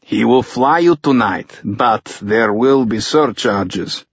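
A man voicing a helpful, matter-of-fact priest who translates in measured, in an audiobook. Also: medium pitch, breathy edge, no echo, lo-fi sound.

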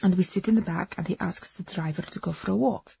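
A woman voicing a passionate immigrant wife, dramatic and breathy, in an audiobook story. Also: lowish voice, quick pace, dark tone, no echo, dull audio, exaggerated voice. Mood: wistful, fervent. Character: passionate Eastern European immigrant wife confessing her love affair.

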